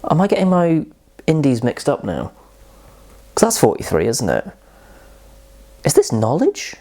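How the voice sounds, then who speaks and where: A man's voice: medium pitch, wildly swooping pitch, measured pace, gravelly, dark tone. A man hosting a casual whisky review vlog, chatty and rambling, often poking fun at himself. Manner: chatty, rambling and self-deprecating.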